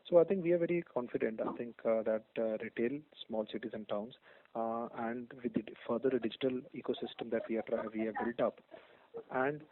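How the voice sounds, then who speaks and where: Fast-talking Indian executive on phone line. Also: male voice, medium pitch, no echo. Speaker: company executive answering on an earnings call. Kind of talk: unscripted business commentary. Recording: tinny narrowband conference phone line.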